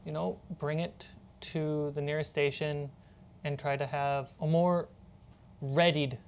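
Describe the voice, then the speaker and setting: A woman's voice: low-pitched, flat delivery, unhurried, darkish tone, a little hiss or hum. A woman running a tabletop role-playing game as game master, narrating scenes and answering players. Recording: background music.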